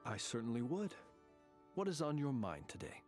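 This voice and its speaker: Formal, solemn gentleman, acted by a man, over music. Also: medium pitch, big pitch swings, close to an everyday voice. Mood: solemn, thoughtful. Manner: formal, ornate, measured phrasing. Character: courteous, solemn gentleman who lived alone in a cemetery. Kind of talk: scripted video game character dialogue. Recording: game music behind the voice.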